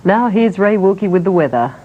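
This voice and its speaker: A woman with an Australian accent, reading the late news as anchor in a measured, formal way. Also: mid-range voice, expressive, narrow, tinny audio. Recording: faint noise, early-1990s TV broadcast.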